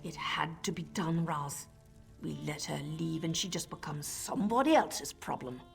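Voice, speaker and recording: A woman voicing a blunt, scornful dark elf assassin in a game. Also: deep voice, lively intonation, normal speed, gravelly, in a large hall, faint music behind.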